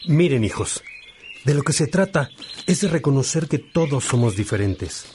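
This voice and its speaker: An actor playing a fatherly, unhurried priest teaching his young brothers in a radio drama, with birdsong behind him. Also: medium pitch, expressive, darkish tone, a little hiss or hum, close to an everyday voice. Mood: fatherly, content. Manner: fatherly, unhurried, addressing followers affectionately.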